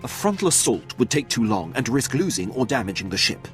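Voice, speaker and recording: A man playing a dutiful, precise Imperial officer in a video game, with a formal, crisp, deferential manner. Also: medium pitch, big pitch swings, fairly quick, a bit bright, faint music behind. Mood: earnest, urgent. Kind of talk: scripted video game dialogue acting.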